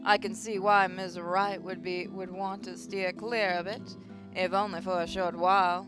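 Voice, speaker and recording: A woman reading a visual novel aloud as expressive first-person story narration. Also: lowish voice, normal speed. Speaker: gaming channel host reading a visual novel. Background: guitar music.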